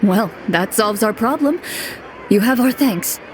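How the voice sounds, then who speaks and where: A woman playing a composed young duelist and game master in a video game, speaking in a measured, polite and formal way. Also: fairly high pitch, lively intonation, background noise. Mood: calm, polite. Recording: music playing behind the voice.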